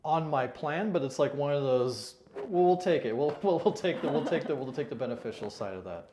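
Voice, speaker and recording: A man's voice: fairly high pitch, animated, fairly quick, mellow, roomy sound, a little hiss or hum. A man being interviewed in an office, answering casually with many fillers and restarts. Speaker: interviewed client. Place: consulting firm office.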